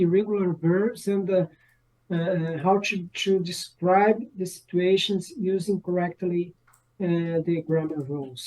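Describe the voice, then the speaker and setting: A woman's voice: on the low side, mellow, low-quality recording. A woman learning English, speaking hesitantly and repeating phrases.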